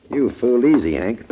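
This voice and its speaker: An actor playing a menacing, smug racketeer who sneers and taunts in a 1940s radio crime drama. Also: male voice, medium pitch. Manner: sneering, taunting, tough-guy talk. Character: menacing, smug male racketeer muscling into boxing. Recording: muffled, noisy 1940s radio recording.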